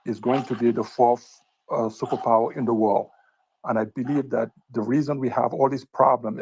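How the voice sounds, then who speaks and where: A man with a Francophone West African accent asking a long impromptu question, halting and repeating himself as he searches for words. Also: mid-range voice, creaky, darkish tone, slightly rough, low-quality recording. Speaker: audience questioner.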